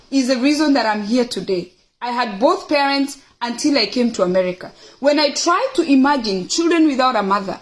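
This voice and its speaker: Middle-aged female livestream host, Kenyan accent, high voice, opinionated and emphatic, informal address to viewers. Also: rough recording. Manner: emphatic, repeats phrases for stress. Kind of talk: casual, opinionated talk to viewers, reading out questions.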